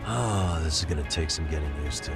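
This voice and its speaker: An actor playing a wry, level-headed detective in a video game dub, speaking in a dry, conversational way. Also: male voice, very deep voice, brisk, gravelly. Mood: sardonic. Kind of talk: English-dub video game cutscene acting. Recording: music behind the voice.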